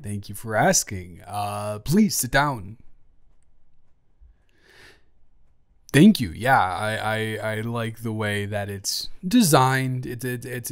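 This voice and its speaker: A man's voice: mid-range voice, clean tone, dry recording, clean studio sound. A man playing a flirty, joking office boss in an improvised roleplay audio, stammering and interrupting himself as he teases. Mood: friendly. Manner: rambling, stammering, self-interrupting; playful, teasing.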